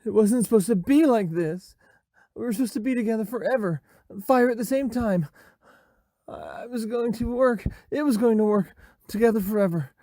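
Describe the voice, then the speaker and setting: A man's voice: high-pitched, normal speed, dark tone, dry recording, studio quality. A young man playing an anxious, obsessively lovesick teenage boy, stammering and repeating himself in a motion comic drama. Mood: frantic, distraught.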